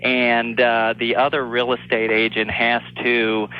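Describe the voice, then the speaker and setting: A man's voice: medium pitch, monotone, unhurried, clean tone. A man phoning a radio show as a real estate agent, talking casually in a hesitant, polite way. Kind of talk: casual phone call-in chat. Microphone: telephone. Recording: muffled telephone call on radio.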